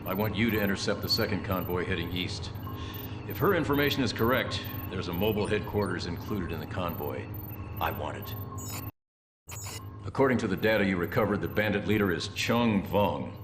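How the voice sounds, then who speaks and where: An actor playing a hard, suspicious army colonel in a game briefing, speaking in curt, authoritative orders. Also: male voice, sits low, a little fast, reverberant, music in the background, close to an everyday voice. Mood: stern, commanding. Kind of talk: game mission briefing acting.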